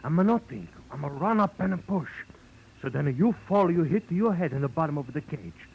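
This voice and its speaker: An emotional immigrant circus showman in an old radio play. His voice is highish and swings wildly as he pleads and repeats himself in thick-accented broken English. The recording is muffled, with a little noise. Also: expressive, fairly quick, vocal fry, husky, full character voice. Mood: distressed confession. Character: excitable, devoted immigrant animal show owner. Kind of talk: 1940s radio drama acting.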